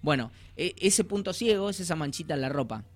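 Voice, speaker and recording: A man's voice: on the high side, animated, a little fast, no echo. A man with an Argentine accent talking as a coach, explaining things conversationally with informal slang and imagined quotes. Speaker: life coach, guest.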